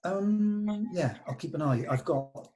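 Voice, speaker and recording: A man's voice: high voice, animated, slow, warm tone, a little hiss or hum. A man talking casually in a meeting, organising things with a group.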